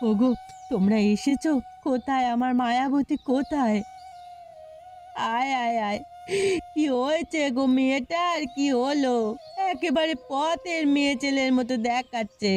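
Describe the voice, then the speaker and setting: A woman's voice: sits high, unhurried, a little mellow, soft background music. A woman voicing a poor, anxious village mother in a cartoon, plaintive and fretful in the way she talks. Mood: anxious concern. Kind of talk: cartoon dialogue acting.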